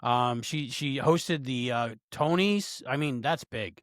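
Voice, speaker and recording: A man's voice: medium pitch, slow pace, no echo, clean studio sound. A man co-hosting a podcast, rambling casually with lots of fillers and restarts. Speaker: podcast co-host.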